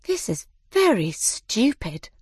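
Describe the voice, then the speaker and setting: A woman's voice: a little high, very animated, slow pace, husky, dry recording, clean studio sound, voice pushed up, exaggerated voice. A woman voicing a polite, earnest little girl in a children's storybook, with a light childlike manner. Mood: puzzled. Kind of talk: children's storybook character reading.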